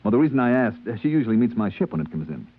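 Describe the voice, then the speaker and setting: An actor playing an easygoing spaceship purser in a 1950s radio drama, with a colloquial manner. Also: male voice, medium pitch, big pitch swings, rapid-fire. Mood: uncertain. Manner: colloquial, hesitant in dialogue. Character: easygoing spaceship purser recounting his voyages. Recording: tinny vintage radio broadcast, music behind.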